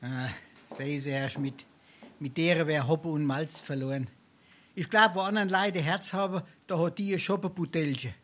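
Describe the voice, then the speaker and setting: A man's voice: a little high, normal speed, a bit bright, lightly acted. Brazen sponger and tippler for dialect comedy, male actor; Darmstadt accent, florid, theatrical, silver-tongued. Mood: wheedling, boastful. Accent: South Hessian, Darmstadt dialect. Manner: florid mock-pompous speech, theatrical sighs. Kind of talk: dialect stage comedy acting.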